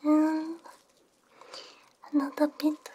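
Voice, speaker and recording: A woman doing an ASMR roleplay as a repair technician, murmuring softly with short hums, very close on a binaural microphone. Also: high voice, completely flat delivery, extremely slow, a little hiss or hum. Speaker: ASMR host. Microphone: close binaural ear microphone.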